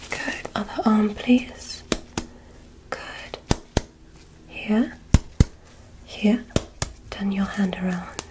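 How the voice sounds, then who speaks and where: A woman voicing a gentle, curious alien doctor in an ASMR medical roleplay, whispering soothing, softly formal instructions. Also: mid-range voice, drawn-out words, long pauses. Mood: soothing, clinical. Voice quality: breathy whisper.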